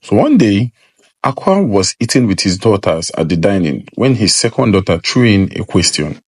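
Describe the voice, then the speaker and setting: A man's voice: lowish voice, big pitch swings, normal speed, husky, dry recording, studio quality. A man narrating a scripted folktale as a storyteller.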